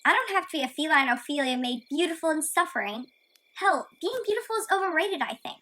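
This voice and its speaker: A woman plays a plucky radio-play heroine, a girl with a very high, bright voice. She talks in a chatty, gently corrective way and stays optimistic. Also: expressive, fast. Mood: encouraging. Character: plucky, optimistic girl heroine who contradicts her narrator.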